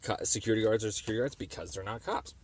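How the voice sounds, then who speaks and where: A man making a phone call, explaining a complaint in a halting, wordy, circling way. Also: fairly low pitch, darkish tone. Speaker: caller. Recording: noisy phone call recording.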